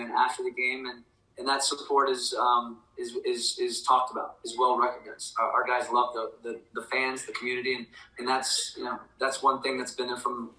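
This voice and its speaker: A soccer coach, a man, answering at a press conference in a halting way with many restarts. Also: medium pitch, sharp tone, roomy sound, poor audio.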